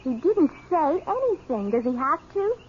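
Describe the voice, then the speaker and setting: A headstrong young woman in love, speaks in a very high, highly animated voice. Her delivery is impassioned and theatrical, in the manner of 1970s radio drama. The old recording is dull, with faint noise. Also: normal speed. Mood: lovestruck, defensive. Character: slender, horse-loving, lovestruck young woman, headstrong and intense.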